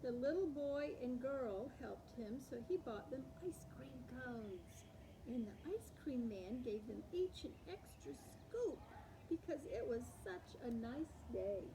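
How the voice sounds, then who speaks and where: A woman hosting storytime, reading picture books aloud to young children in an expressive, animated way that acts out the words. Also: high-pitched, background noise. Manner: animated, acts out words with slowed and varied delivery. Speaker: storytime host.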